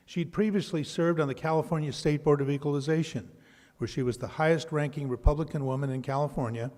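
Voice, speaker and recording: A man giving a measured, scripted introduction of a guest speaker. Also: medium pitch, a little mellow, big-room sound. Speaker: master of ceremonies.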